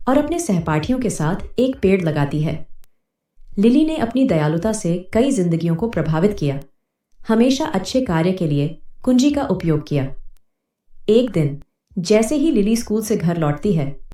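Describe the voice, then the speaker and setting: A woman's voice: medium pitch, warm tone. A woman narrating a scripted moral story for children as a storyteller, with a roomy echo. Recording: roomy, echoing sound.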